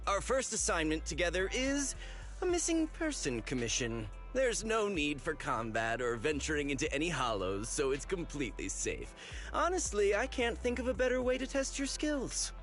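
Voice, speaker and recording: An actor playing a smooth-talking male intelligence broker in a video game, persuasive and explanatory like a salesman. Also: a little high, wildly swooping pitch, normal speed, fairly bright tone, soft background music. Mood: businesslike.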